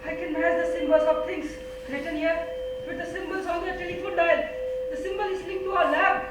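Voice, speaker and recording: A woman's voice: very high voice, steady tone, a little fast, very noisy. A woman acting in a stage play as the hopeful, resourceful inner voice of a stricken scientist. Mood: focused. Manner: earnest, dramatic, urging. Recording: echoey stage, distant mic, loud music.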